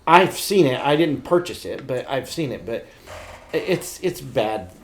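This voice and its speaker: A middle-aged man co-hosting a podcast, telling stories about pop culture in a blunt, animated, slightly crude casual way. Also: medium pitch, unhurried. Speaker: podcast co-host.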